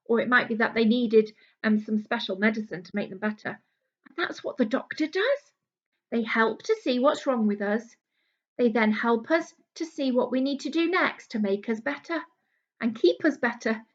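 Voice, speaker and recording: Female British primary teacher, voice on the high side, reading and explaining a picture book to young kids; slightly dull, lo-fi sound. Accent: British English. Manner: explanatory, speaking simply as to young children. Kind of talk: children's story reading with simple explanations.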